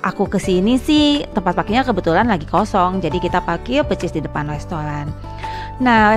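A woman doing a casual, chatty vlog voice-over, addressing viewers as friends. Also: medium pitch. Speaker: vlogger and narrator.

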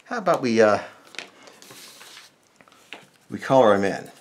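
A middle-aged American man teaches drawing in a casual, informal way. His pitch moves around in a lively fashion, and there is a lot of gravelly fry in his voice. He thinks aloud as he goes, step by step. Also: fast talker, slight background noise. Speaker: cartoonist, drawing instructor.

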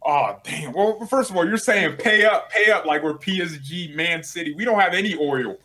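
A man's voice: sits high, moderate pace, sharp tone. A young man in his twenties, a guest rival fan, bantering playfully and teasing on a video call from a small room at home. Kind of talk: casual banter.

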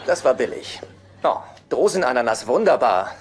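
A man's voice: medium pitch, very animated, moderate pace, natural voice. A man playing a fussy, needy, meek brother in a sitcom dub, speaking hesitantly and pedantically with dry wit. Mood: wry. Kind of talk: dubbed sitcom dialogue. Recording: noisy TV sitcom soundtrack.

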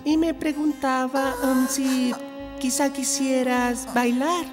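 A shy, awkward little cartoon prince. He speaks slowly and haltingly in a very high boyish voice. Music plays behind him. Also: fairly even intonation. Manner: hesitant, halting. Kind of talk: animated children's cartoon dubbing in Spanish.

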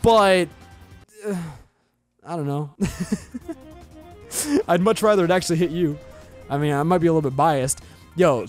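A young man with a Southern US accent, rambling excitedly and casually as he commentates his Let's Play at home. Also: fairly high pitch. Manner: chatty, rambling, excitable, says bro and yo. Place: home recording setup with webcam. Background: faint game music.

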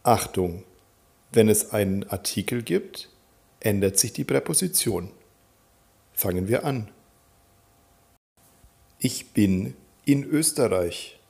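A man teaching a German lesson, calmly naming countries and repeating example sentences for learners to follow. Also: lowish voice, slow pace, creaky, pauses often, husky. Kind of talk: slow, clear teaching with drill repetitions.

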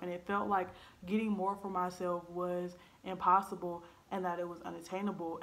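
A woman's voice: on the low side, fairly bright tone. A woman around twenty, speaking candidly to camera from her living room, earnest and blunt, with frequent ums and likes. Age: 20s. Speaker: vlogger. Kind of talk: candid personal storytelling to camera. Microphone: camera in front at desk height.

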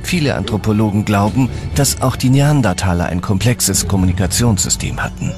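Guy narrating a documentary, lowish voice, scripted, music behind him. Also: a little fast.

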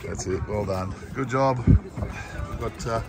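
A man's voice: on the low side, slow pace, loud music behind the voice. A man in his thirties with an Australian accent, cheerfully commenting on a fishing trip from a small boat, filmed on a phone. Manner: cheery, whoops loudly at each catch. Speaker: fishing vlogger filming from boat. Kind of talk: excited casual commentary while fishing. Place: small open motorboat on a bay, outdoors.

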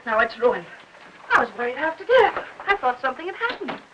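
An actress playing a chatty, fussy, well-meaning middle-aged aunt in a 1950s Hollywood melodrama. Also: female voice, high-pitched, big pitch swings, fairly quick, muffled recording, noisy background. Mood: fussing, worried. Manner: talkative, fussing, gossipy. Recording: old 1950s film soundtrack.

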